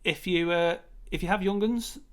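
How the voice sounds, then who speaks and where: A man's voice: sits high, normal speed, a bit bright. Rambling, enthusiastic man in casual chat. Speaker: co-host of a film discussion show.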